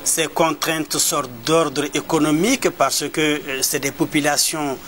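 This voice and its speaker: Bright-voiced man explaining with hesitations. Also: a little high, flat delivery. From Mali. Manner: measured, with hesitations and repeated words. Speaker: human rights advocate. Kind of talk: explanatory interview answer.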